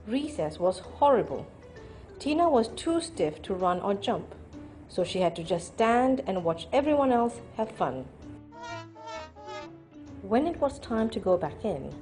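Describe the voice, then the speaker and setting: A woman storyteller reading a children's picture book aloud in an expressive style. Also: mid-range voice.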